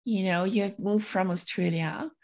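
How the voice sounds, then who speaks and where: A woman's voice: medium pitch, moderate pace, darkish tone. Woman podcast host introducing a guest. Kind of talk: scripted introduction and interview questions.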